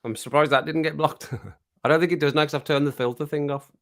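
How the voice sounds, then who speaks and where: A man hosting a casual livestream, his voice a bit croaky from singing, chatting to viewers at home and laughing often. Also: fairly high pitch, steady tone, fast talker, a bit bright. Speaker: livestream host reading chat. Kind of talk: casual chat to a live audience. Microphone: home streaming mic with compressor.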